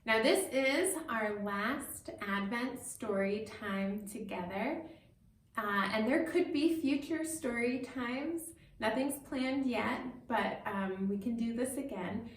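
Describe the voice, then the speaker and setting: Slow-talking woman in an echoey room. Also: fairly high pitch, slight background noise. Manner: simple, explanatory, addressing children directly. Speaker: pastor hosting a children's story time. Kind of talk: storytelling for children. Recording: roomy echo, distant microphone.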